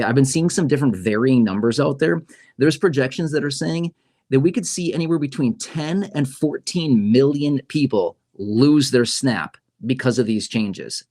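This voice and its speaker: A man's voice: medium pitch, darkish tone. A man hosting a casual livestream on YouTube, explaining policy news in a conversational way and often checking in with "okay?" and "right?". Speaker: YouTube host.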